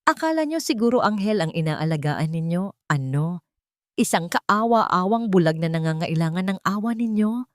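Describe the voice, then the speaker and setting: A woman's voice: animated, normal speed, no echo, clean studio sound, lightly acted. A woman storyteller voicing a haughty, mocking rich society woman with a contemptuous, taunting manner. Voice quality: shrill.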